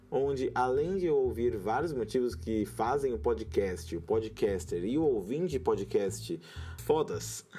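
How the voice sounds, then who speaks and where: A man's voice: mid-range voice, dark tone, slight background noise. A man with a Brazilian accent, hosting a podcast in scripted narration. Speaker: podcast host and creator.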